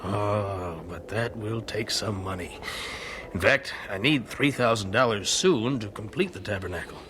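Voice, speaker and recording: An actor playing an earnest, genial 19th-century American evangelist in an audio drama, with a folksy, persuasive way of talking. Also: male voice, lowish voice, measured pace, noisy background, close to an everyday voice. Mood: eager. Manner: animated, folksy storytelling.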